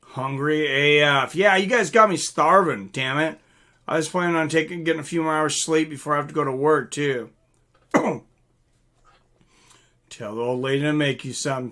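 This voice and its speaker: A man's voice: fairly high pitch, a bit bright. A man hosting a live stream in a casual, rambling, mildly profane way, chatting and answering viewer comments.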